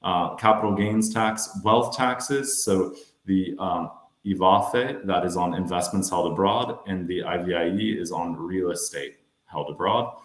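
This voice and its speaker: American man, deep voice, steady explainer, echoey room. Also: measured pace. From United States. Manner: steady explanatory delivery with some fillers. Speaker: financial adviser. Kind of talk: webinar presentation.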